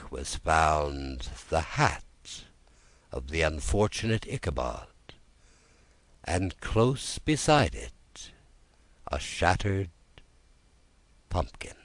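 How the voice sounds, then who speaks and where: A man narrating a classic story as a soothing bedtime audiobook, in a gentle and measured manner. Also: very deep voice, no echo.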